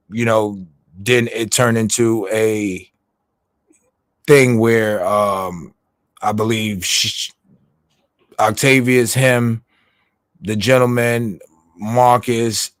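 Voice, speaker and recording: Man, low voice, dry close sound, rambling livestream recap, lots of fillers and swearing. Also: no room sound. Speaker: livestream commentator. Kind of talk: casual recap commentary.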